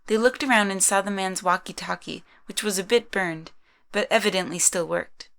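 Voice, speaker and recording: A woman's voice: mid-range voice, moderate pace, gravelly, fairly bright tone. A woman narrating a scripted story in the third person.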